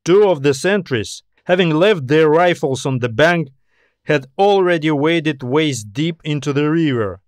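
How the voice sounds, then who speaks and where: A man reads scripted fiction as an audiobook narrator. His voice sits slightly high for a man, and the recording is very dry, with no room sound. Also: lively intonation, a little slow, dry recording.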